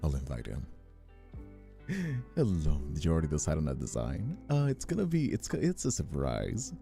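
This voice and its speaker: A man's voice: rumbling low, wildly swooping pitch, normal speed, creaky, dark tone. A man chatting casually on a live stream as its host, affectionate with his viewers, with a flirty touch. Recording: faint music behind the voice.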